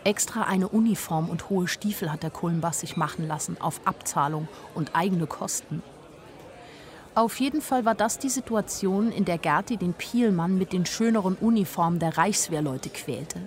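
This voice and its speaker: Casting: a woman plays an artless young storyteller, slightly low voice, dry observations, first-person literary radio play. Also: moderate pace, lightly acted. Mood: dry, observant. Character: naive, wryly observant young woman telling her story. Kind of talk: literary radio drama narration.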